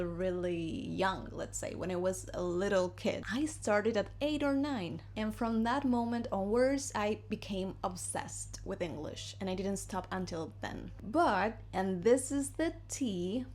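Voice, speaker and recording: A woman's voice: mid-range voice, a little slow, hardly any pauses, a little mellow. A woman in her thirties with an Argentine accent, a native Spanish speaker, giving a chatty, encouraging English lesson and switching between English and Spanish. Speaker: English teacher. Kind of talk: casual video lesson. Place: home in Madrid.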